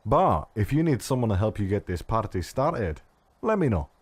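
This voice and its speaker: Audio drama medical researcher, male actor: deep, animated, irreverent, hesitant yet eager. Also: normal speed, slightly rough, no echo. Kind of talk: fan-made audio drama acting.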